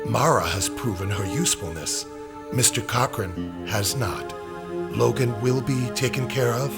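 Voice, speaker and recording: An actor playing a menacing, measured villainous boss in a video game cutscene. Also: male voice, mid-range voice, close to an everyday voice. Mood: cold menace. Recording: loud music over the dialogue.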